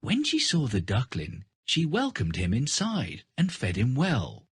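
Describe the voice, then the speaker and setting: A man's voice: medium pitch, wildly swooping pitch, a little slow, breathy edge, no echo, clean studio sound. A man reading scripted children's fairy tale narration. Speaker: storybook narrator.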